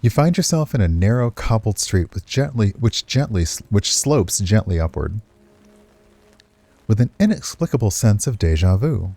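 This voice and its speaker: A man with a low, mellow voice reads out a visual novel. His narration is expressive and moves freely in pitch, mixed with casual streamer commentary. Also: normal speed, creaky, no room sound. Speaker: game streamer.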